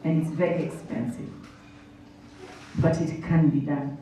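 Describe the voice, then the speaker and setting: A woman's voice: low-pitched, steady tone, measured pace, mellow, a little hiss or hum. A woman in her thirties with a Kenyan accent gives a motivational talk to students, emphatic, full of questions and repetition. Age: 30s. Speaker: motivational workshop speaker. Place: indoor workshop hall. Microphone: handheld microphone. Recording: roomy hall, distant, music behind.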